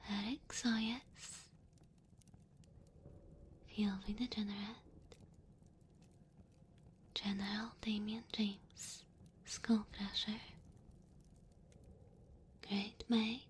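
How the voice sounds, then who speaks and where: A woman speaking in a soft, breathy whisper as an ASMR creator addressing her supporters. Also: medium pitch, level tone, drawn-out words, pauses often, a little hiss or hum. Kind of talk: whispered ASMR outro, thanking patrons.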